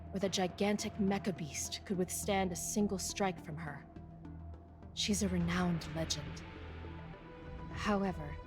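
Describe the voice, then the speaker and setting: A woman's voice: medium pitch, lightly acted. A woman playing a dutiful, world-weary military officer in a video game, explaining things matter-of-factly. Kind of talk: English video game dialogue. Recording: game audio with music behind.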